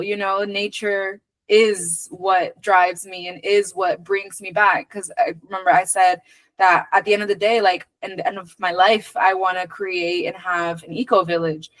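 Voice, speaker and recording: A woman from Venezuela who grew up in the United States, talking casually and reflectively as an interview guest, earnest and emphatic, pausing to choose her words. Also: mid-range voice, steady tone, fairly bright tone, no echo, poor audio. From born in Venezuela, moved to the United States very young. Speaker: podcast guest.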